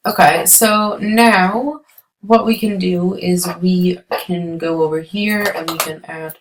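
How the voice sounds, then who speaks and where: A woman giving chatty, rambling commentary while playing a building game, her voice going hoarse. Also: fairly low pitch, steady tone, takes it slowly. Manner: chatty, rambling, many ums. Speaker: let's play creator. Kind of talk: casual gameplay commentary.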